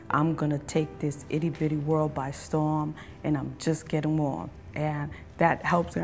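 Low husky-voiced cafe owner woman. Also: a little mellow. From East Harlem, New York. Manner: candid, with many fillers and restarts. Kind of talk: interview. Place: brick-walled cafe, seated on a couch. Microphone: lapel.

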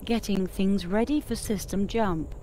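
A woman voicing a calm, obliging spaceship computer assistant in a measured, formal way. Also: medium pitch, slight background noise, lightly acted. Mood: calm, procedural. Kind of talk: scripted game voice assistant prompts.

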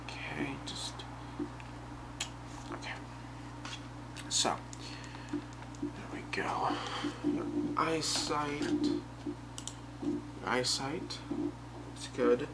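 A young man playing an obsessive, calmly menacing boyfriend in a halting, soft, half-whispered ASMR role play. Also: mid-range voice, loud music behind the voice. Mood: calmly controlling. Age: young adult. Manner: halting, soft-spoken, unhurried. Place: small bedroom, indoors. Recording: very noisy webcam-style home recording.

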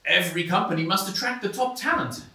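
A man's voice: sits high, lively intonation, fast, sharp tone, full character voice. Guy acting a smug pompous intellectual pundit in a satire play, voice swoops around, roomy stage. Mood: smugly lecturing. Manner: pompous, hesitating orator. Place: small stone-walled theatre stage. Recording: roomy stage sound, distant mic.

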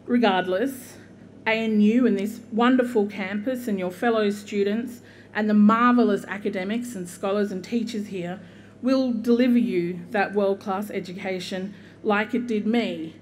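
A middle-aged Australian woman from Queensland, a constitutional lawyer, giving an earnest, measured commencement speech at a podium microphone outdoors. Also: medium pitch, a little mellow, a little hiss or hum. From southeast Queensland, Australia. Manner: earnest, measured, with frequent ums and gestures. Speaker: guest speaker, constitutional lawyer. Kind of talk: commencement address. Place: outdoor university courtyard.

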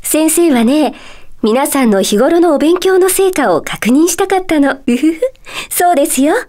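A gentle lady teacher from a magic school for a Japanese video game. Her voice is high and sweetly composed. She speaks politely, like someone addressing young pupils, with a playful, coy touch. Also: animated, moderate pace. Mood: gentle, teasing. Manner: polite, soft-spoken teacherly phrasing with playful coyness. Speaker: voice actor.

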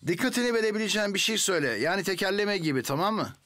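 A man's voice: sits high, lively intonation, very fast, a bit bright, no room sound. A man voicing a patient, encouraging heroic firefighter in a children's cartoon dub.

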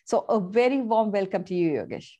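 A woman's voice: medium pitch, animated, brisk, mellow, highs cut off. Woman hosting an online talk show. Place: home, virtual studio background. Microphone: video call.

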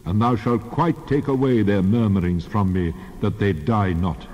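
An actor playing God as a solemn, commanding lawgiver in a dramatized scripture reading. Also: male voice, fairly low pitch, normal speed, a little mellow, natural voice. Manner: solemn, declarative. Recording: long reverb, dull band-limited sound.

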